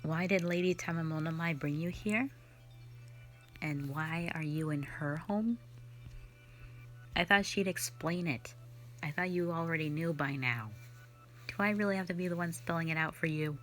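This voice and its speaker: A woman's voice: deep voice, normal speed, long pauses, faint music behind, close to an everyday voice. A woman voicing a cold, sardonic female slayer who is not human, talking in a dismissive, mocking, blunt way in an audio roleplay drama. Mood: scornful.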